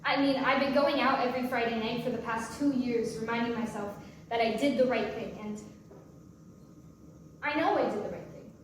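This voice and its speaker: A guarded, sardonic young divorced mother, played by a woman in a staged play reading. Her voice sits fairly high and comes out wry and halting. She is heard from far off in a very echoey room. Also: steady tone, lightly acted. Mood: hesitant, regretful. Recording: distant, very echoey room with faint noise.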